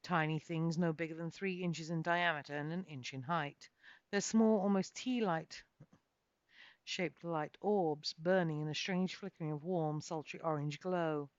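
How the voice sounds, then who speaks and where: A woman's voice: deep voice, steady tone, unhurried. A woman author reading her own fantasy novel as a dramatic first-person storyteller. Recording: muffled, echoey home recording.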